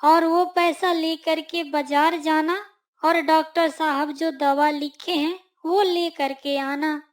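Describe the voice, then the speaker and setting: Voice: high voice, steady tone, slow, voice pushed up. A narrator voicing a sick, worried poor mother in a cartoon story, with a thin voice and plaintive manner. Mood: weary, instructing.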